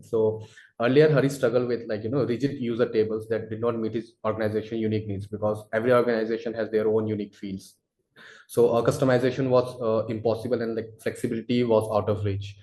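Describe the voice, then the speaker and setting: A man presenting a software product in a webinar, with a halting, informal delivery full of verbal tics. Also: lowish voice, fairly even intonation. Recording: echoey room sound.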